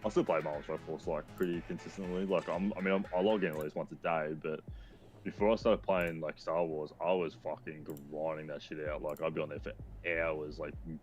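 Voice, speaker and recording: Man, about thirty, very deep voice, casual profane gaming chat, lots of fillers, faint music. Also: fairly even intonation, a little fast. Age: 20s. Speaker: co-streamer.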